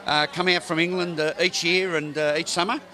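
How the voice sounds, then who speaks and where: An Australian man hosting local television with folksy, effusive enthusiasm. His voice sits a little high and sounds bright, and he teases his guests. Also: little pitch movement, a little fast. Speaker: TV presenter. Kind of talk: local TV presenting and interviewing. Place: racecourse pavilion lounge.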